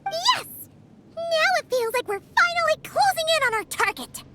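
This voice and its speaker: Tiny creature sidekick, extremely high squeak, excitable, cheerful, animated, loud game music. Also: female voice, normal speed. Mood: excited. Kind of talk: video game voice acting.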